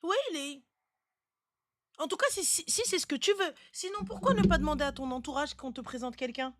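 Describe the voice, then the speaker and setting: A woman's voice: high voice, brisk. A woman hosting a casual live advice chat, speaking bluntly and insistently and often repeating words to drive a point home. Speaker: live stream host giving relationship advice.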